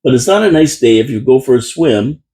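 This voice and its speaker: A man's voice: medium pitch, expressive, fairly quick, dark tone, slightly rough. A man hosting a live local radio talk show from a small studio, chatty and rambling with lots of restarts. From Canada. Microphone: studio microphone.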